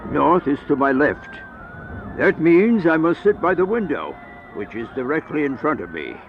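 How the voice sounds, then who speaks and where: An actor playing an aged, sickly vampire in a radio horror play, bitter and remorseful, in a grandiose, melodramatic manner. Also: male voice, a little high, very animated, moderate pace, background noise. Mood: weary, bitter. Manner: grandiose, brooding, melodramatic monologue. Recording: dull lo-fi radio play with music.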